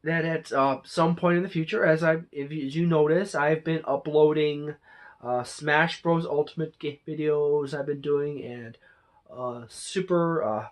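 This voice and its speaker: Someone doing an off the cuff review for their channel, mid pitched voice, kinda rambly and halting, keeps fixing what they said. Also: steady tone. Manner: halting, rambling, frequent self-corrections. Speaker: movie reviewer and channel host. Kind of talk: casual unscripted review monologue.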